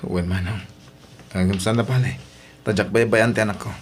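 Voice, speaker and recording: A man's voice: on the low side, fairly even intonation, fairly quick, lightly acted. A man with an Ilocano accent voicing James, a young husband who becomes a widowed father in a radio drama, his voice breaking with emotion. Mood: grieving.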